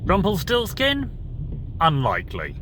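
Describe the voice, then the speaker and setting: A man's voice: high voice, wildly swooping pitch, measured pace, noisy background. A man musing aloud informally and retelling stories, thinking as he goes and correcting himself. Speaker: solo commentator on Bible and fairy tales.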